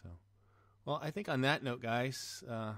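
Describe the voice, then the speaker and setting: A man's voice: medium pitch, measured pace, a bit bright, dry recording. A man hosting a podcast in a casual chatting style. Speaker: podcast host.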